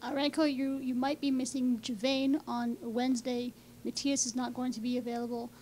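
A woman reporter with a fairly high voice asks direct questions at a press conference. Her voice is off-camera, with a faint hum behind her. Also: little pitch movement, normal speed, a little mellow.

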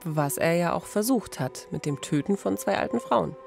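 A woman's voice: lowish voice, lively intonation, moderate pace, vocal fry, a little mellow, faint music behind. A woman in her thirties hosting a true-crime podcast conversationally at a studio microphone. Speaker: podcast host. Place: small radio studio. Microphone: studio microphone with pop filter, headphones.

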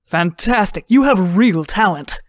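A woman doing an excited, gushing diner. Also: medium pitch, unhurried, no room sound, studio quality. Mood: delighted.

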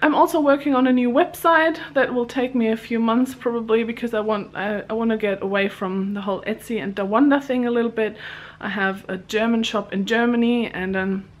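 A woman's voice: on the high side, fairly even intonation, brisk, hardly any pauses. A woman in her thirties chatting upbeat to a camera held at arm's length in a small home craft room, laughing between words. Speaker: vlogger. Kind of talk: casual vlog chat. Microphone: handheld camera at arm's length.

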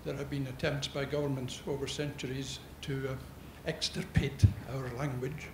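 A Scottish man in his sixties, a native Gaelic speaker and poet, talking in deliberate formal phrases on a handheld mic from an audience. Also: medium pitch, little pitch movement, vocal fry. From Scotland. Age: 60s. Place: large indoor conference hall. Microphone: handheld microphone. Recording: echoey noisy auditorium.

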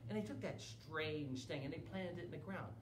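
A middle-aged man telling a children's story in an animated way. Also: fairly high pitch, normal speed. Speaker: library storyteller. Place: small indoor room at a library. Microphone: camera a few feet away. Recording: roomy, distant camera mic, faint hum.

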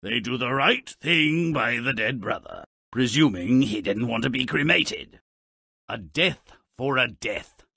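An actor voicing a gleefully morbid, sardonic dwarf storyteller in a video game, raspy, mocking and theatrical as he narrates twisted fairy tales. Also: mid-range voice, lively intonation, unhurried, sharp tone, dry recording, clean studio sound, full character voice. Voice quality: raspy, gravelly. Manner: mocking, theatrical, relishing the gruesome.

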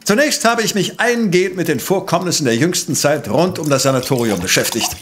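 An actor playing a self-assured, courtly professor-sleuth in a German audio drama, formal, precise and pompous. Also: male voice, fairly high pitch, expressive, a little fast, fairly bright tone.

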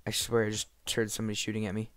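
A teenage boy with a nasal, scratchy voice from being sick, commenting on his gameplay in a casual, animated, rambling way. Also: lowish voice, rapid-fire. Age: teens. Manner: animated, rambling, breaks into shouting and muttering. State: sick, congested voice with cracks. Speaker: gaming YouTuber. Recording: clear background noise, clicks.

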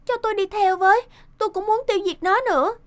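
A woman voicing a plucky, pleading young fairy-tale princess in an animated cartoon, eager and insistent. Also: very high voice, quick pace. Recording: music behind the voice.

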